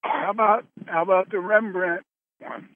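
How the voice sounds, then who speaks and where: A man hosting a conference call in a casual, rambling way, pausing often mid-sentence, heard over the telephone. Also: high voice, steady tone. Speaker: host of a conference call show. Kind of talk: casual, rambling talk to callers. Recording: narrow phone line with background noise.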